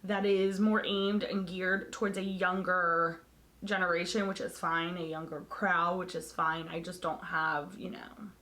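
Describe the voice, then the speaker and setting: A woman beauty vlogger talking casually to camera, hoarse as she loses her voice, candid and blunt in her opinions. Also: fairly low pitch, flat delivery, measured pace, pushed and bright.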